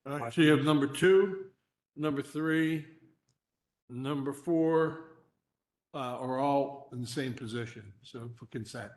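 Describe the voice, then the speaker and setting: A man's voice: mid-range voice, unhurried, a little mellow. A man on borough staff casually explaining agenda items to a council. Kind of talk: briefing a council meeting. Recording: roomy council chamber.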